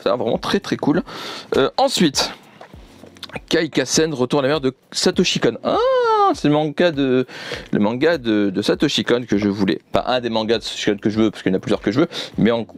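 Animated young man chatting casually. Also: medium pitch, quick pace. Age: young adult. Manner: repeats himself, enthusiastic. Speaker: YouTuber presenting a manga unboxing. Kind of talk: casual unscripted vlog chat. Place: indoor room with manga shelves.

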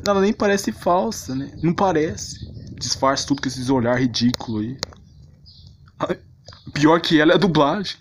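A Brazilian man delivers a rambling, slangy commentary in a tone of mock outrage. His pitch leaps and drops in a very animated way. The homemade recording carries faint noise and some clicking. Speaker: video creator. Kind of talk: casual ranting commentary on anime characters. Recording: noisy home recording with clicks.

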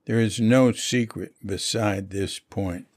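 A man reading a spiritual lecture aloud in a measured way. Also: on the low side, steady tone, darkish tone, no room sound, clean studio sound. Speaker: reader of a Zen lecture.

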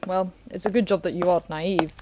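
A woman playing a polite, guarded, self-deprecating gentleman guest in a 1930s radio drama. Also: fairly low pitch, fairly even intonation, a little fast, background noise, natural voice. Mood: polite, reserved.

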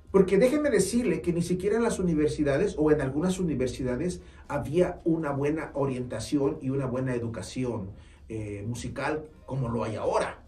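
A man's voice: mid-range voice, a little mellow. A man in his forties with a Mexican Spanish accent, an earnest and friendly saxophone teacher who talks to camera with hesitant fillers and restarts, in a small shop studio. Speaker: saxophone teacher and music store owner. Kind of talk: informal instructional talk to camera. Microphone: far camera mic. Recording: roomy, distant camera sound, faint music.

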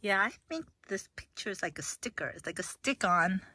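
A woman vlogging casually at home, with a hoarse voice, rambling through an unboxing with lots of fillers and restarts. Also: mid-range voice, expressive, moderate pace, pushed and bright, no room sound.

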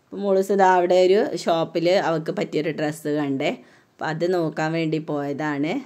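A low-voiced woman from Kerala narrates a shopping trip in easy, chatty Malayalam-accented speech. She films herself strolling a big indoor mall on her phone, picking up the open sound of a busy store. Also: fairly even intonation, brisk. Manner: chatty, tags sentences with a soft "tto". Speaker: vlogger, mother touring a mall with her daughter. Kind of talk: casual walk-around vlog narration. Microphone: phone camera held while walking.

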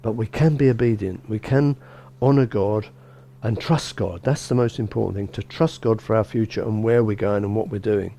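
A middle-aged man, a bishop, gives a relaxed sermon in a small church, talking conversationally with frequent restarts and "you know". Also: on the low side, moderate pace, hardly any pauses, a little hiss or hum. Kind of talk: informal sermon.

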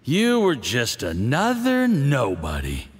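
An actor playing a smug, boozy foreign official in a video game, condescending and theatrical in his drawn-out delivery. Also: medium pitch, huge pitch swings, slight background noise. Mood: tipsy, patronizing. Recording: long cavernous reverb.

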